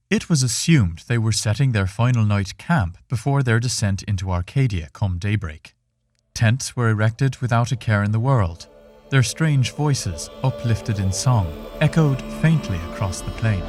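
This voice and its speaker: A man narrating a scripted fiction story in a measured, solemn and dramatic way. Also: on the low side, expressive, a little mellow, faint music behind. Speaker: narrator reading a fiction podcast.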